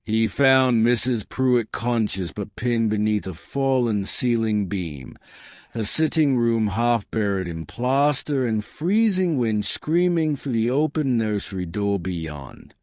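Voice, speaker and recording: A man narrating a historical romance as an audiobook, in a measured, literary and dramatic manner. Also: mid-range voice, expressive, a little mellow, dry recording, studio quality.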